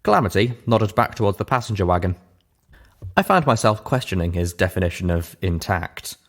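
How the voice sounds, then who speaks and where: Low-pitched man narrating a story. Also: animated, fairly quick. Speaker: audiobook reader, narrator.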